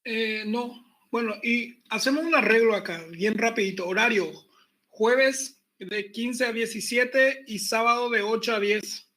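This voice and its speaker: A man teaching an online university class, relaxed and chatty, repeating his points and joking with the students. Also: extremely high pitch, a little slow. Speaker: university pathology lecturer. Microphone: computer mic on a video call.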